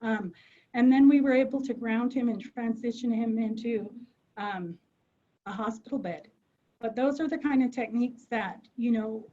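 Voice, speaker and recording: A woman's voice: medium pitch, dark tone. A woman presenting on a webinar in an earnest way, with frequent "um" fillers as she thinks aloud. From United States. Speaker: behavioral health clinician presenting. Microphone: video call. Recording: muffled webinar audio.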